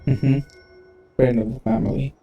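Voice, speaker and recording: A man's voice: medium pitch, steady tone, normal speed, warm tone, muffled recording, faint music behind. A man giving sparse, muttered commentary while playing a video game. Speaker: gamer.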